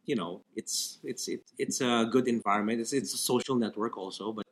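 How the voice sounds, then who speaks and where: A man's voice: medium pitch, fairly quick. A man co-hosting a podcast in casual chat, sounding stuffy and congested, hesitant with many fillers and restarts. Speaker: podcast co-host.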